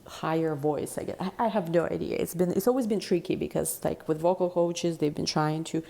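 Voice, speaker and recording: A woman giving a casual tarot reading in a deep, chesty voice, rambling and reflective with many fillers. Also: a little fast, vocal fry, mellow. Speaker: tarot reader.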